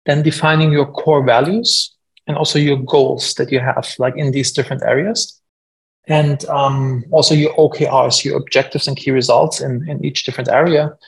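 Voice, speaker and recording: A man's voice: medium pitch, fairly even intonation, normal speed, creaky, rough recording. A man in his forties with a German accent, a guest entrepreneur talking casually on a podcast, full of fillers. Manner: conversational, frequent fillers, self-deprecating.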